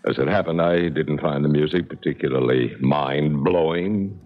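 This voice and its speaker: An actor in a 1970s radio drama playing an anxious, polite, educated middle-aged professor with a formal, stammering delivery. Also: male voice, very deep voice, moderate pace. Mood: stiff, defensive. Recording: muffled, faintly noisy 1970s radio recording.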